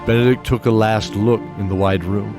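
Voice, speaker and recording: A man narrating a fantasy audio drama in a measured storytelling manner. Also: lowish voice, fairly even intonation, over music.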